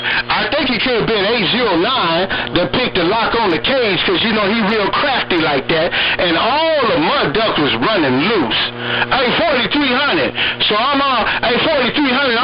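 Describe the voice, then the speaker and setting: Male CB caller, high voice, folksy boastful slang and greetings, dull radio sound with heavy din behind. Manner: folksy, boastful, repeats "man" and catchphrases. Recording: muffled CB radio with loud crowd-like din.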